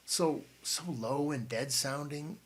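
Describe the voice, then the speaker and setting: A man's voice: fairly high pitch, takes it slowly, slightly rough. A man reading an audiobook and voicing a blunt, wary teenage boy who grumbles.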